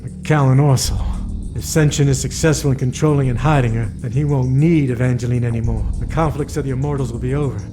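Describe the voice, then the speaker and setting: A commanding covert-operations chief played by a male actor lays things out with calculating authority. His voice is medium in pitch and emphatic, over clear audio drama music. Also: fairly even intonation, quick pace, lightly acted. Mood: urgent strategizing.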